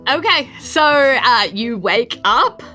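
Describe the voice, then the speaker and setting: A woman runs a tabletop game as its dungeon master. Her voice is bright and forward, and she narrates in a casual, conversational way. Faint music plays behind her. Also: a little high, animated, slow.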